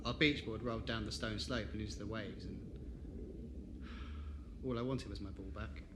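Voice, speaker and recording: A man's voice: on the low side, fairly even intonation, moderate pace, natural voice. An actor playing a haunted, guilt-ridden young man in an audio drama, speaking hesitantly and earnestly, as if confessing. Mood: anxious, reluctant. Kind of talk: fan audio drama acting. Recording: loud ambient noise behind the voice.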